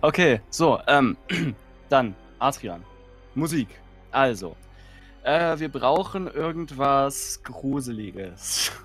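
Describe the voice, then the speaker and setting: Male stream participant, casual, unhurried and slightly rough-voiced, faint music behind. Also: medium pitch, expressive, fairly bright tone. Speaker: livestream participant reading stories. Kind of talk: casual chat.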